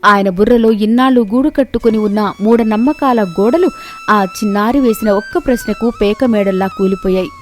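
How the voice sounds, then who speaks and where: Woman, storyteller, higher pitch, scripted moral-tale narration, faint background music. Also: wildly swooping pitch, normal speed.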